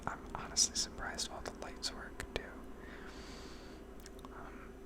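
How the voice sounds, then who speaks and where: A woman whispering a casual, rambling ASMR narration over gameplay, with hesitant pauses. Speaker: video game streamer narrating gameplay.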